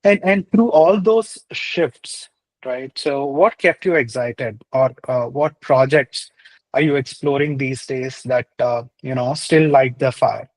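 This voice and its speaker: A man's voice: mid-range voice, takes it slowly, poor audio. A man hosting an interview show, reading a scripted introduction and asking questions in an upbeat way, dropping in "uh" and "right?". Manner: upbeat, uses fillers like "uh" and "right?". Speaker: podcast host.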